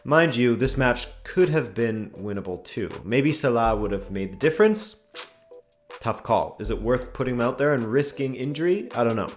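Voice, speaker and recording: A man's voice: normal speed, soft background music. A man hosting a daily football review show, delivering a scripted match rundown with dry, wry asides while losing his voice a little. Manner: dry, wry commentary with lively pitch swings.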